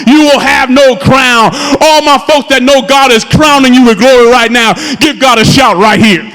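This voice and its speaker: Fervent man in his fifties, soaring high swooping delivery, preaching in a church with a long echo. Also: normal speed, fairly bright tone. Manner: impassioned preaching, calls out to the congregation. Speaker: pastor. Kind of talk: sermon. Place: church sanctuary. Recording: long hall reverb in a church.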